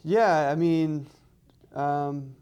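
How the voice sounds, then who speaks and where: A man speaking as a head coach in an interview, in halting phrases with repeated words. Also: on the high side, a little mellow, clear, resonant tone. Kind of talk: media day interview answers.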